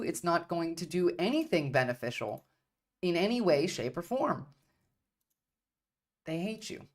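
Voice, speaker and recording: A woman from Turkey giving blunt, profane livestream commentary on politics, repeating her points for emphasis. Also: mid-range voice. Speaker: streamer.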